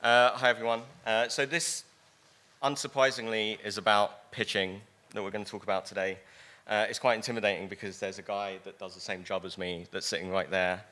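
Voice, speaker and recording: Loud, low-pitched man, casual conference speaker on a handheld mic, jokes with the crowd. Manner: casual, joking with the audience. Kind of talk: conference talk. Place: conference hall stage.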